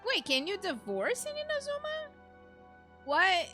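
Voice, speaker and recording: A woman's voice: very high-pitched, wildly swooping pitch, measured pace, sharp tone. A woman doing casual, chatty and rambling let's play commentary as a gaming streamer. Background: faint game music.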